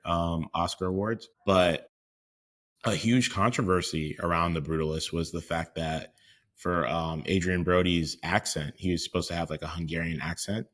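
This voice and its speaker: A man with a very deep, low voice, co-hosting a podcast. He speaks earnestly and reflectively, pausing on "uh" and "um" as he works through his thoughts. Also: a bit bright. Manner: earnest and reflective, with frequent hesitations. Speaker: podcast co-host. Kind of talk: casual conversational chat.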